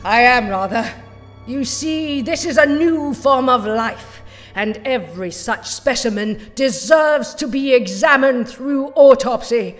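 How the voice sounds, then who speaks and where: A woman's voice: fairly high pitch, lively intonation, takes it slowly. Woman doing an imperious short-tempered evil scientist lady, theatrical, echoing hall, music behind. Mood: cold, scheming. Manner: haughty, theatrical, scornful. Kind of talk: audio drama acting. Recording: long hall reverb, music underneath.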